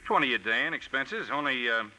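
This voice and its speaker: An actor playing a casual, wisecracking, money-minded private detective in a 1940s radio mystery. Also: male voice, medium pitch, expressive, pushed and bright. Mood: wry, casual. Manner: casual, wisecracking, drawn-out asides. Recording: noisy vintage 1940s radio recording.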